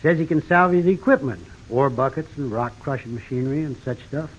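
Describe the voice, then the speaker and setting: A man's voice: mid-range voice, a little fast, natural voice. A man playing a folksy, wary old homesteader in a western radio drama, with a plain, drawling rural Western American accent. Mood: rueful. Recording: dull vintage radio broadcast recording.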